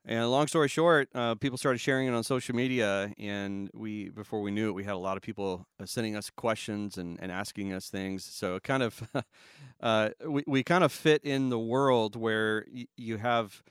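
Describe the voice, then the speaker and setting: A man in his thirties from Southern California, a pastor talking casually as a podcast guest, relaxed and rambling with fillers and chuckles. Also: lowish voice, normal speed, smooth, no room sound, studio quality.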